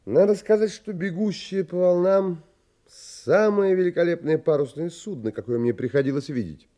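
An actor playing an earnest, reflective gentleman traveler, narrating an audio drama in literary, polite phrasing. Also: sits high, lightly acted. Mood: polite, curious. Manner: literary, measured, polite but firm.

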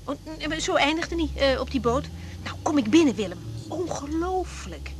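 Lively high-voiced young woman doctor. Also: moderate pace. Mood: animated, confiding. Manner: hesitant, repeating words, informal. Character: young woman doctor, frank, caring but easily thrown. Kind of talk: naturalistic Dutch radio drama acting.

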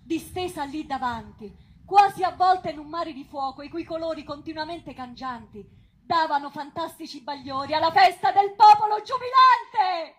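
Woman reading as high-voiced ardent patriot. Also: moderate pace, fairly bright tone. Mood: enraptured. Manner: lofty, literary. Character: ardent patriot recalling Rome with reverence. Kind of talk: dramatic reading of memoirs.

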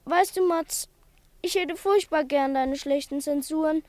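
A timid little schoolboy, acted by a woman in an old radio play, his voice piping and very high. He speaks slowly, plaintive and full of self-doubt. The recording is close and dry. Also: a little mellow, no echo. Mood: rueful. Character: timid small schoolboy, ashamed of his cowardice. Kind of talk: 1950s radio play acting.

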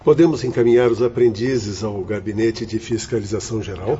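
An actor playing an authoritative spirit doctor in a Brazilian radio drama, formal and measured as he instructs. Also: lowish voice, fairly even intonation, slight background noise, close to an everyday voice. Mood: composed, instructive. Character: composed, authoritative male spirit doctor guiding apprentices. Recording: dull lo-fi radio drama, loud music behind.

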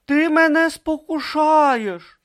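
A man's voice: very high-pitched, slow pace, a bit bright, pitched above the performer's own voice, heavily acted. A man voicing a timid, earnest young male novice monk who speaks hesitantly and anxiously.

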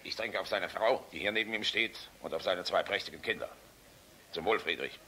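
An actor in a German radio play voicing a jovial, irreverent, sharp-tongued middle-aged air force general. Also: male voice, deep voice, fairly quick, slight background noise, low-quality recording. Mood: hearty toasting. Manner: jovial, sardonic, blunt.

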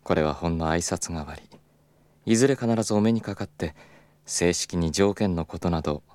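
An actor playing a smooth, persistent, self-assured club executive in a Japanese audio drama, speaking politely and with unhurried insinuation. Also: male voice, low voice, darkish tone, no echo, close to an everyday voice. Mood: confident, persistent. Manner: polite, unhurried, insinuating formal business speech.

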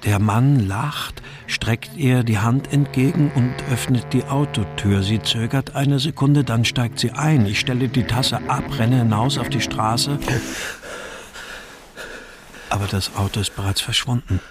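An actor playing a melancholy husband and writer who narrates a radio crime drama in a restrained, introspective way. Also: male voice, mid-range voice, moderate pace, few pauses, music in the background, lightly acted. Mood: tense recollection. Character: melancholy husband and writer narrating his missing daughter's story.

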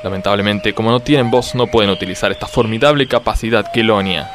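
An actor playing an earnest nature-documentary narrator and interviewer, deadpan and mock-solemn in a scripted parody. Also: male voice, fairly low pitch, fast, lightly acted. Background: music.

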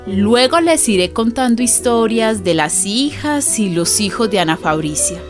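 A woman playing a neighbour who narrates a radio drama in an unhurried, confiding storytelling manner. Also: fairly high pitch, expressive, over music.

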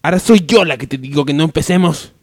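A man's voice: on the high side, quick pace, no echo, studio quality, lightly acted. A man playing a wary, sardonic woman in a parody radio soap, sly and pointed. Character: wary, sardonic young woman, an old acquaintance.

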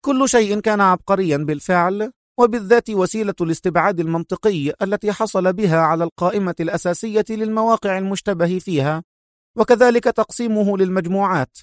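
A haughty, analytical woman operative from a spy story , acted out by a male narrator. The pitch sits well up and the delivery is cool and decisive. The sound is dry, with no room around it. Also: fast, dry recording, natural voice. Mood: intent, skeptical.

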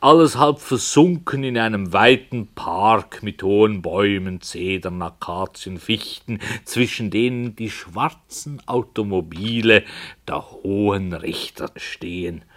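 A man as an evasive, whimsical author telling his story in a 1960s German radio play, deliberate, musing and dry. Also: lowish voice. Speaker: author character.

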